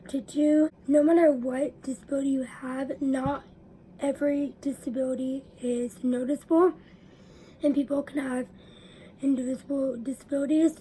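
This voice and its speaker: A young woman vlogging to her viewers, her voice raspy from allergies, explaining earnestly and sometimes dropping to a whisper. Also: high voice, warm tone, a little hiss or hum, low-quality recording. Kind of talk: personal talk to camera.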